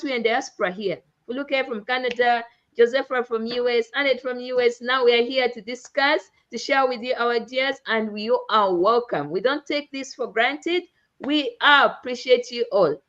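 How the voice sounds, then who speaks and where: A woman's voice: sits high, a bit bright. Exuberant woman hosting a live stream. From Uganda. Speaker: host. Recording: dull lo-fi online stream audio.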